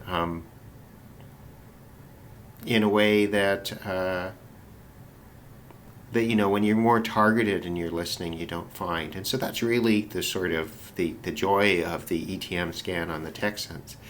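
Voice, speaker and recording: A man's voice: sits low, fairly even intonation, a little slow, pauses often. A man giving casual, unscripted commentary as a radio hobbyist, thinking aloud in a tentative way. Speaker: shortwave radio hobbyist narrating a video. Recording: loud shortwave radio static and noise. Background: shortwave radio reception.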